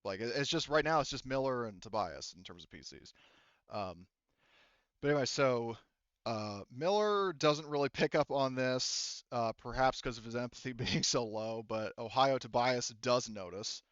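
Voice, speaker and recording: Smooth-voiced man running a tabletop game. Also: medium pitch, lively intonation, fairly bright tone, dry recording, lo-fi sound. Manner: halting, informal, conversational. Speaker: game master. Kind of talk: narrating and refereeing a tabletop roleplaying game.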